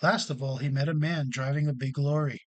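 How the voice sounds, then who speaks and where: Guy reading a kids storybook out loud, voice a bit on the high side, scripted narration. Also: steady tone, fairly quick, very noisy. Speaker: children's storyteller.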